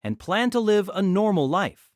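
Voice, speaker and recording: Female narrator for novel audio drama. Lively, varied intonation, dramatic storytelling, dry close sound. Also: medium pitch, normal speed, dry recording.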